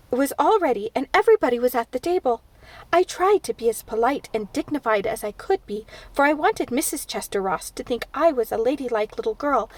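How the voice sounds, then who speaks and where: Dramatic chatterbox girl, voiced by a woman reader, high and lively, storybook read-aloud. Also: no echo. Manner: breathless, dramatic, gushing. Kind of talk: audiobook character voice.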